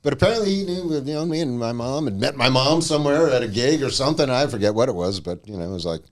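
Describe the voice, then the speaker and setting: Fast-talking, lively male co-host. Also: mid-range voice. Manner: fast, profane, lively anecdotes. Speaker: podcast co-host. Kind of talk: casual chat.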